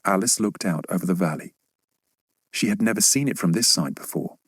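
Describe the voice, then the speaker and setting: A man's voice: deep voice, a little fast, slightly rough, no echo, clean studio sound. A man reading a scripted children's story as an audiobook narrator.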